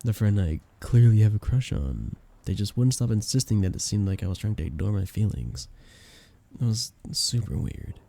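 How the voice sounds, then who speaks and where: A young man acting a flustered best friend with a hidden crush in an intimate ASMR romance roleplay, soft-spoken, casual and hesitant. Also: lowish voice, lively intonation, normal speed, creaky. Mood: bashful, confessing.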